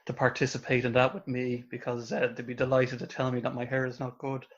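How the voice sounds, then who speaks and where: A man's voice: medium pitch, completely flat delivery, brisk, muffled recording. A man hosting a casual interview, asking questions in a hesitant, meandering way. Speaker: interview host.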